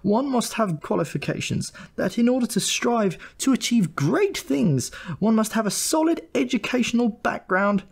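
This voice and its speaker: A man's voice: extremely high pitch, expressive, normal speed, gravelly, few pauses, husky, pitched above the performer's own voice, exaggerated voice. A man voicing an angry, self-righteous mother who lectures in a pompous, exasperated way. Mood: exasperated, preachy.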